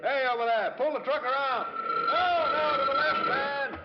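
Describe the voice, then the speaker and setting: A gruff American army major with a strikingly high, raised voice. He is commanding and terse in a vintage radio drama. The recording is muffled, with clear noise behind him. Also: unhurried. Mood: gruff, alert. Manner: barking, terse orders. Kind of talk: old-time radio acting. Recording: dull, noisy old radio, vehicle effects.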